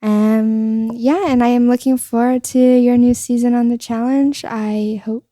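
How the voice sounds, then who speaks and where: A woman hosting a podcast, with a soft, high voice, speaking agreeably and affirming her guest. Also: steady tone, a little slow, no echo. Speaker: podcast host. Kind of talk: casual interview chat.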